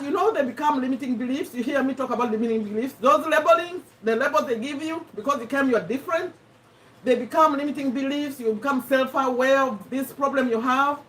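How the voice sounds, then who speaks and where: A woman with a Ugandan accent hosting a casual live stream, chatting to viewers in an animated way and repeating herself for emphasis. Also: high voice. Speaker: host of a live personal development discussion. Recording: voice somewhat far from the mic.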